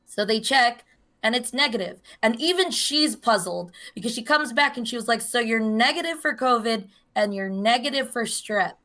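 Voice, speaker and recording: A young woman with a hoarse voice, co-hosting a podcast in casual chat, telling a long story and acting out the voices of the people in it. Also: a little high, animated, normal speed, bright, forceful tone. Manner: chatty storyteller, acts out quoted voices. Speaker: podcast co-host.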